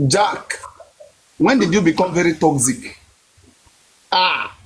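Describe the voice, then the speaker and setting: A man hosting a heated live-stream debate, cutting in with drawn-out exclamations. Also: sits high, lively intonation, breathy edge.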